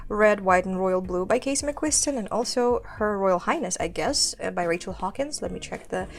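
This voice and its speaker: A woman's voice: medium pitch, animated, moderate pace. A woman who speaks Kazakh as her first language gives a chatty bookshelf tour at home, listing titles with a laugh now and then. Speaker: book vlogger. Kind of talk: casual bookshelf tour narration. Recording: faint music under voice. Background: soft background music.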